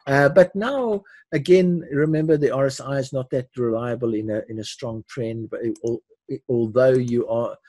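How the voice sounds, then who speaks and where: Husky, dark-voiced older man. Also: mid-range voice, no room sound. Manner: halting, repeats words and restarts mid-sentence. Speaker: webinar host teaching forex chart analysis. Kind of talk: unscripted webinar commentary on charts.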